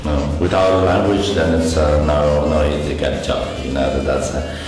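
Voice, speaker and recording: A man speaking haltingly in an interview, searching for words. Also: very deep voice, little pitch movement, heavy reverb, in a large hall, over music.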